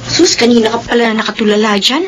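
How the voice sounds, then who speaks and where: A woman's voice: on the high side, fast, slight background noise, natural voice. An actress playing a bossy, protective female workmate who scolds, in a radio drama. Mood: scolding. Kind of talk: Filipino radio drama acting.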